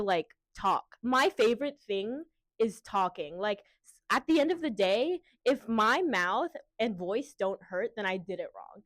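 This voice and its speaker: A woman's voice: mid-range voice, no room sound. An eighteen-year-old woman giving bubbly, chatty interview answers. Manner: bubbly and chatty, laughs easily. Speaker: contestant.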